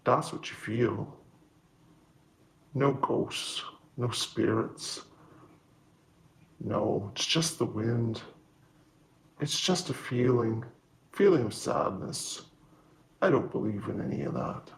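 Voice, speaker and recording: A man, a local resident, talks slowly in a deadpan, teasing way. His voice sits in the middle range. The sound is roomy and echoey. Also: medium pitch, creaky.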